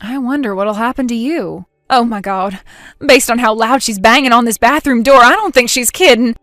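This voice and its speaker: A woman's voice: on the high side, normal speed, a bit bright, no room sound, clean studio sound, natural voice. A woman voicing a brash young woman caught out after an affair, who whines, pleads and flares up in outrage. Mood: pleading. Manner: whiny, pleading, easily outraged.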